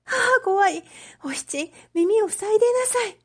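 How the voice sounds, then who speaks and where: A woman's voice: extremely high pitch, animated, measured pace, voice pushed up. A woman voicing an anxious, protective mother in a read-aloud story, fretful and motherly. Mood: frightened, protective.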